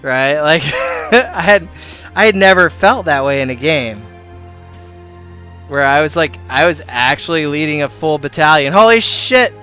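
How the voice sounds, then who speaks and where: A man's voice: fairly high pitch, expressive, measured pace, sharp tone. A man chatting casually as he streams a game, sweary and given to exclamations. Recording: slightly dull, lo-fi stream audio. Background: music.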